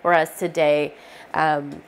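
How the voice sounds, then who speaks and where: A woman's voice: low voice, little pitch movement, slow, creaky. A young woman vlogging in a casual, rambling way, with a stuffy, congested voice from a cold. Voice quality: stuffy, nasal. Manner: chatty, rambling with many fillers. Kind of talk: casual vlog chat.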